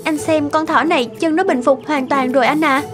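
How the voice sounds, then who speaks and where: A woman's voice: sits high, fairly even intonation, brisk, music in the background, natural voice. A woman voicing an anxious young wife in a cartoon, eager and urging in manner. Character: anxious young wife devoted to her pet rabbit. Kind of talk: Vietnamese cartoon dubbing.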